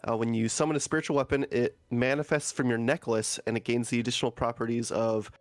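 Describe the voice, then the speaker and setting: A man's voice: medium pitch, flat delivery, fast talker, no echo, faint music behind. A man who is sick with a groggy voice, reading item text aloud as a player in a tabletop game, with casual asides.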